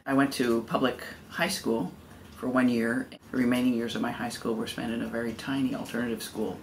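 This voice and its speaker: A middle-aged man recalling his schooling in a gentle, meandering way. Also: medium pitch, level tone, brisk, gravelly, slight background noise, poor audio. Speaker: interviewee. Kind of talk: casual recollection.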